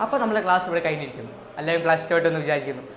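A woman's voice: low voice, animated, rapid-fire, a crowd in the background. A woman with a Malayali accent tutoring physics, explaining step by step as in a school lesson. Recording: echoey room with faint noise.

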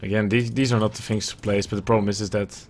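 A man's voice: lowish voice, flat delivery, fast talker, slight background noise. A young man with a Dutch accent, casting a video game match on his own at home in a loose, chatty way, thinking aloud and swearing now and then. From Netherlands. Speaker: esports caster. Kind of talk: solo play-by-play game commentary. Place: indoors at home. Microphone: earbuds and webcam at a desk.

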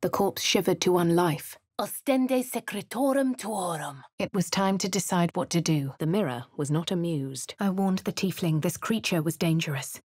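A woman with a posh southern English accent playing a wry, composed female adventurer in a fantasy game, narrating in a crisp, clipped way with dry asides. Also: on the low side, normal speed, few pauses, darkish tone, no echo. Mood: measured. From Baldur's Gate. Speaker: player character. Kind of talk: video game voice lines.

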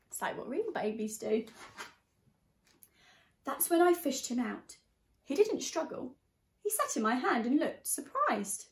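The room rings noticeably around a young woman's fairly high voice. She reads a children's picture book aloud like a primary teacher, expressively and directed at young listeners. The microphone sits a short way off from her. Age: young adult. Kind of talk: storybook read-aloud. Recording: echoey room sound.

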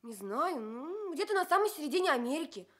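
A woman's voice: high-pitched, big pitch swings, fairly quick, fairly bright tone. A woman playing a friendly, open young farm girl in a children's radio play. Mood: bright, proud. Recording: studio radio play with music.

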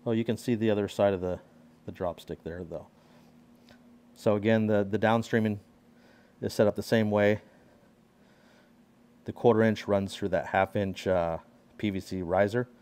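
A man with a fairly low voice walks through a build in his workshop, talking casually as he films on a handheld phone. He explains each part plainly and hands-on, like a builder showing a customer around. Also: steady tone, normal speed, pauses often, darkish tone. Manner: explaining hands-on while filming himself. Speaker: pressure washing skid builder. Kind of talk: casual walkaround tour.

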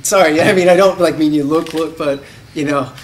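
Male actor in his thirties, higher-pitched, jokey and casual, far mic. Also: steady tone, fairly quick, very noisy. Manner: self-deprecating, chatty, laughing. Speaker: actor guest. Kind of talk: casual interview answers. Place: convention press room.

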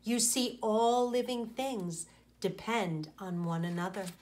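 A woman's voice: mid-range voice, fairly even intonation, takes it slowly, a little mellow, a little hiss or hum, lightly acted. A woman voicing a little bee in a storybook, earnest and explanatory in manner. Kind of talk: storybook read-aloud.

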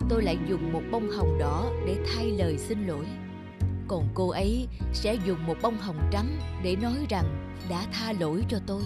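A man's voice: high voice, close to an everyday voice. A man playing a regretful older cartoon man. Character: regretful, hesitant older man longing for lost love. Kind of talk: cartoon voice acting.

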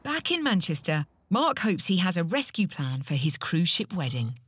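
Lively woman narrating reality TV. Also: lowish voice, moderate pace, breathy edge. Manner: playful, punning.

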